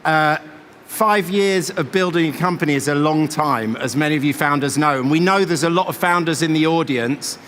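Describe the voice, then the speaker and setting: A man's voice: fairly high pitch, moderate pace, fairly bright tone. A man moderating a fireside chat on stage, speaking conversationally as an interviewer. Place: event stage in a large venue. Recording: echoey large hall, faint noise.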